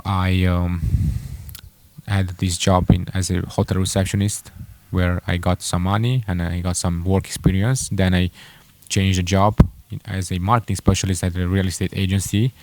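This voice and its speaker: A young man with a Romanian accent talks casually about his own path, often stopping and correcting himself mid-sentence. His voice sits very low. The sound is dry and close, with no room around it. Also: darkish tone, dry recording. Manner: halting, many fillers and self-corrections. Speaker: entrepreneur vlogger. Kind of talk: casual personal story to camera.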